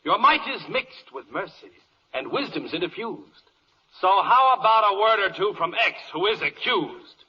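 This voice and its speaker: An actor playing a flattering, eager defense lawyer, courtly and theatrical, pleading in rhyme in a 1940s radio verse comedy. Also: male voice, sits high, measured pace, breathy edge, full character voice. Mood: ingratiating. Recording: muffled old radio broadcast.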